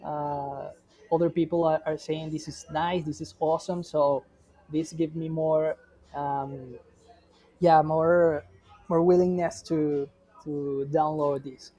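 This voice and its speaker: A man talks through marketing feedback at a slow, unhurried pace. His voice sits fairly high yet sounds dark and mellow. He stops and restarts often, filling the gaps with short hesitations. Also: level tone, low-quality recording. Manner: halting, frequent restarts and fillers. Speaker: guest marketing expert. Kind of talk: reviewing examples in conversation.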